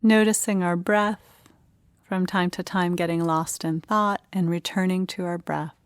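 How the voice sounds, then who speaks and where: A woman leading a guided meditation, speaking in short, gentle phrases with unhurried pauses. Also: lowish voice, fairly even intonation, smooth, dry recording, clean studio sound.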